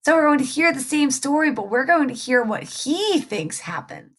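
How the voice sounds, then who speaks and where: A woman's voice: sits high, sing-song, moderate pace, fairly bright tone. A woman reading a picture book aloud as a storyteller, explaining things simply for young children.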